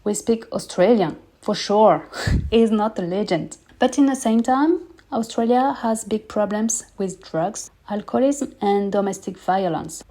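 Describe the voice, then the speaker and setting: A woman's voice: medium pitch, unhurried, dark tone. A French woman in her thirties hosting a personal podcast, speaking candid, earnest English as a second language with small grammar slips. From France. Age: 30s. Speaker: podcast host.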